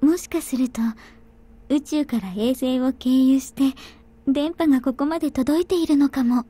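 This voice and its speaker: An actress voicing a gentle, childlike young woman in a visual novel, speaking softly and wistfully. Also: sits high, fast talker, close to an everyday voice. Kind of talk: anime visual novel voice acting. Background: soft game music.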